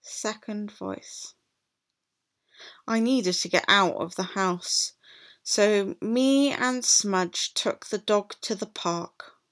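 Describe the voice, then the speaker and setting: A woman reading a picture book aloud to children as a teacher, in an animated, deliberate way. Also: on the low side. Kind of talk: expressive storybook read-aloud for children.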